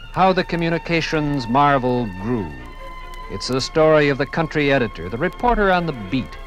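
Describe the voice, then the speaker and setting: A man's voice: a little high, rough recording. Formal 1950s radio narrator, man, over music. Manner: formal, dramatic, measured oratory.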